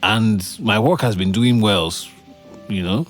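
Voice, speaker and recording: An actor with a Nigerian accent playing a lovesick, self-doubting young copywriter in a conversational, hesitant audio drama style. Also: male voice, fairly low pitch, a little slow, faint music behind, close to an everyday voice. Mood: wry, grumbling. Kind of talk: naturalistic audio drama acting.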